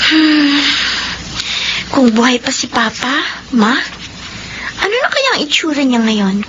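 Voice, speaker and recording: A high, girlish daughter speaks with swooping expression, eager and full of longing. It is Filipino radio drama, on a muffled recording with clear background noise. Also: sing-song, slow, full character voice. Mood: wistful. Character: Mira, eager honor-student daughter longing for her dead father.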